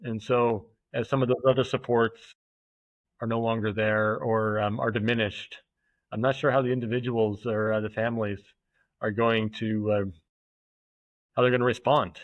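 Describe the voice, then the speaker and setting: A man, a podcast guest, talking in a thoughtful, halting way with many fillers and restarts. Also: on the low side, little pitch movement, dry recording. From United States. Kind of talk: casual conversational interview. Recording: dull, muffled podcast audio.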